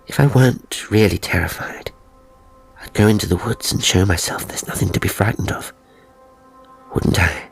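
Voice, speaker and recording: A man voicing an anxious, pleading young English woman in an audiobook, breathy, earnest and insistent. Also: lowish voice, normal speed, long pauses, faint music behind, low-quality recording. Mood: uneasy. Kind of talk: audiobook character acting.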